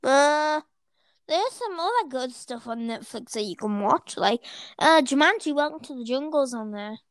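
A woman's voice: high voice, lively intonation, moderate pace, no room sound. A young woman hosting a casual chat, rambling with fillers between her questions.

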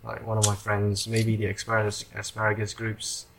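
A young person hosting a podcast in casual chat. They speak hesitantly and often trail off, on a rough home recording. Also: mid-range voice, completely flat delivery, moderate pace, fairly bright tone. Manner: hesitant, trails off, lots of likes. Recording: lo-fi home recording with faint background noise.

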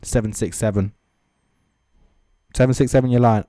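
A man's voice: medium pitch, steady tone, moderate pace, mellow, no room sound. A man giving casual, off-the-cuff comedic commentary as a host, with sarcastic, mocking asides. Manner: sweary. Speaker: comedy host reading social media comments.

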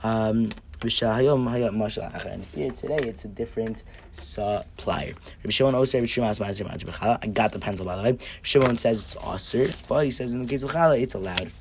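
A hoarse young man hosting an upbeat daily Torah lesson podcast, cheerful and stumbling now and then over Hebrew terms. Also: on the low side, quick pace, background noise. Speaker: podcast host teaching Mishnah.

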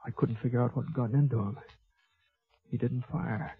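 An American actor playing a laconic, folksy gunfighter who narrates a 1950s radio western in a halting, drawling way. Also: male voice, mid-range voice, steady tone, soft background music, natural voice. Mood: tense, measured. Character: laconic, folksy, fair-minded Old West gunfighter narrating his tale. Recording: dull lo-fi vintage 1950s radio recording.

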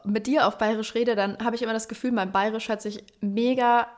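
A woman's voice: fairly high pitch, steady tone, brisk. A woman from Bavaria with a light Bavarian accent, co-hosting a casual podcast chat, laughing often and rambling with fillers. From Bavaria, Germany. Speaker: podcast co-host. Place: at home, neighbors partying nearby. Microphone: separate remote recording, two takes.

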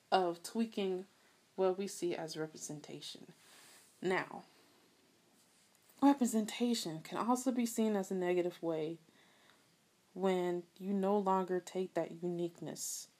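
A woman hosting a solo podcast, talking casually in a soft voice, rambling a bit and chatting straight to her listeners. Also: fairly low pitch. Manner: rambling, laughs often, addresses listeners as y'all. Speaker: podcast host.

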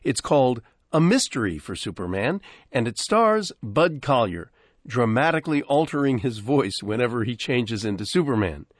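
A man's voice: mid-range voice, big pitch swings, moderate pace, dry recording, clean studio sound. A man with an American accent hosting a radio show, presenting scripted introductions in articulate phrases. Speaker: radio host. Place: dry radio studio.

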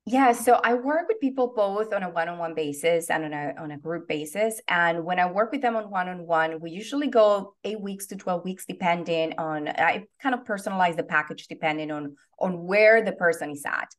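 A woman's voice: on the low side, normal speed, few pauses. A woman from Venezuela with a Venezuelan Spanish accent, answering interview questions in a bubbly, earnest way as a guest coach. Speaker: soul purpose coach, guest.

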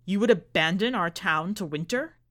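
A woman's voice: lowish voice, lively intonation, normal speed, fairly bright tone. A woman voicing an imperious, theatrical older male magician with a grandiose, commanding manner in a story reading. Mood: indignant.

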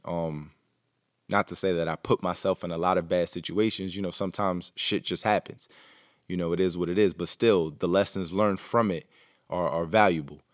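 Deep-voiced man musing alone on mic. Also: fairly even intonation, normal speed, no room sound, studio quality. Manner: rambling, reflective, many fillers and restarts. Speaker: podcast host. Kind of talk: casual solo monologue.

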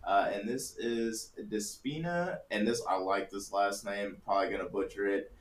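A man's voice: on the low side, moderate pace. A young man co-hosting a casual sports-betting podcast, laughing often and poking fun at himself. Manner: laughing, self-deprecating. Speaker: podcast co-host. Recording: roomy echo.

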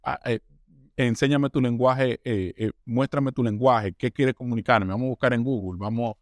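A man's voice: mid-range voice, normal speed, no echo. A Colombian man talking at length in an interview, often restarting words and acting out what others said. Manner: talkative, restarts words, acts out quoted voices. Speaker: interview guest, newcomer. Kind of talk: casual interview.

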